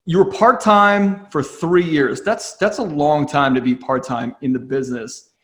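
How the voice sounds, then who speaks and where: A man's voice: on the high side, a little slow. Upbeat male guest host. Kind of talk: podcast interview.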